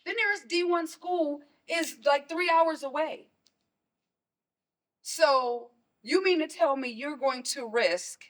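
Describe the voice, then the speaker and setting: A woman playing a stern, protective mother in a stage play, scolding and pressing with pointed questions. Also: sits high. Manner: forceful, scolding, rhetorical questions.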